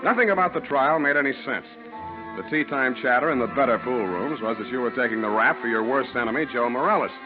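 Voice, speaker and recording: An actor playing a street-wise, unimpressed private detective, narrating and talking in a wry, slangy, deadpan 1940s radio style. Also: male voice, medium pitch, fast talker, lightly acted. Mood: wry, matter-of-fact. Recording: muffled old 1940s radio recording with music.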